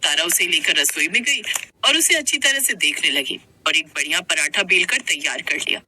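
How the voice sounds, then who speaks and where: A woman's voice: on the low side, animated, normal speed, bright, forceful tone, a little hiss or hum. A woman narrator reading a scripted moral story in Hindi.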